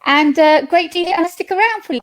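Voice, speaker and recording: A woman's voice: very high voice, fairly quick. A woman from the UK with a British accent, an author talking casually on a video call. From United Kingdom. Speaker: author and podcast host. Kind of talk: casual panel talk. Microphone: video call with a bad connection.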